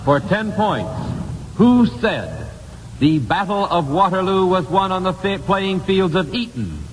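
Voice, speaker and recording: An American man hosting a live 1950s radio quiz, putting questions deliberately and repeating key phrases for emphasis on an old broadcast recording. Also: high-pitched, big pitch swings. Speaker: quiz show host. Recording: old radio broadcast, hall reverb, hiss.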